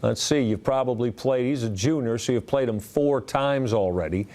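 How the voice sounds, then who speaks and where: An American man hosting a sports interview, asking long, winding questions in a halting, conversational way. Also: mid-range voice, darkish tone. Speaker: sports show host interviewing a guest.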